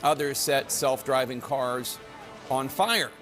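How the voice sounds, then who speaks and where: A man's voice: medium pitch, takes it slowly, a bit bright, soft background music. A man reading scripted news narration as a reporter.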